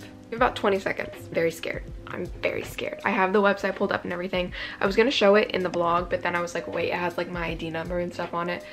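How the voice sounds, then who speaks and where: Young woman vlogging into her phone, kinda raspy, excitable and chatty, quiet music underneath. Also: lowish voice. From California. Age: young adult. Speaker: incoming college student vlogger. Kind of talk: casual vlog chat.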